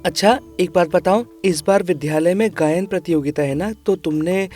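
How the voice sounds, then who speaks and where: Woman voicing a gentle young elder brother. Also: lowish voice, moderate pace, faint music behind, close to an everyday voice. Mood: reassuring. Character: caring, poor young elder brother, a boy. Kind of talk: children's moral story cartoon dubbing.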